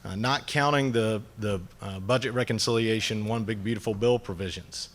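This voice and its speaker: A man's voice: fairly low pitch, normal speed, a little hiss or hum. Southern-accented man giving a conference speech. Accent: Southern US, North Georgia. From North Georgia, USA. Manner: plain-spoken, frequent "uh" fillers, light jokes. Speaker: agricultural lobbyist. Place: meeting hall.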